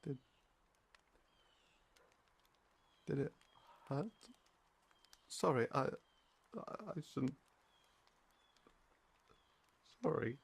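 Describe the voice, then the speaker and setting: A performer acting a timid, shaken, tender survivor in an ASMR audio roleplay, halting and apologetic, with a husky, breathy, creaky voice. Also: medium pitch, animated, full character voice. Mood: flustered apology.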